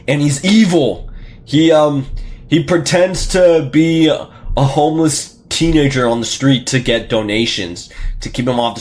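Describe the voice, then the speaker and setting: Casual young man, slangy and informal. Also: medium pitch. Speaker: host answering listener questions. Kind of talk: casual chat.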